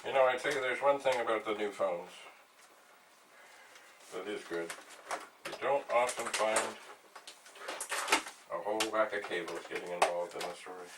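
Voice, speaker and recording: An older man hosting a vlog, thinking aloud in a rambling, halting way as he narrates a hands-on demonstration. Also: lowish voice. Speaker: vlog host, retro computer hobbyist. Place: small cluttered indoor room at home. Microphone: camera mic a few steps away. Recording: distant mic, noisy room.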